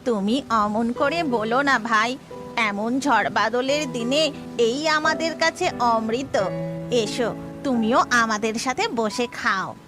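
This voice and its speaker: Casting: actress as a friendly village ghost woman in human form, high voice, horror-cartoon delivery over music. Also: takes it slowly. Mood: warm, urging. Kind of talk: Bengali cartoon voice acting.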